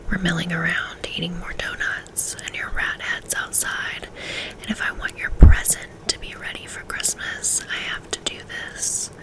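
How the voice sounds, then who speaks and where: A woman reading a first-person novel aloud in a soft, intimate whisper, in a steady ASMR style. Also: fairly low pitch, rough recording. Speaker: audiobook reader.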